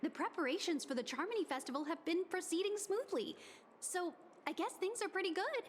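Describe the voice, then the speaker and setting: A courteous young hotel receptionist in a game. She explains procedures in formal, polished phrasing, and her voice sits high and light. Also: lively intonation, fairly quick, slight background noise. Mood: puzzled.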